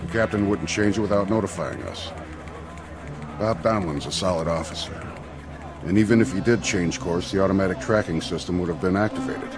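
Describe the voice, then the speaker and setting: A man's voice: deep voice, a little fast, music in the background, lightly acted. A man playing a grave, matter-of-fact mission control officer in a science fiction drama.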